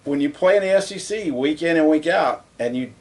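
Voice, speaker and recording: A man's voice: on the high side, lively intonation, a little fast. Argumentative man on a sports show. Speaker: co-host. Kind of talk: casual sports chat.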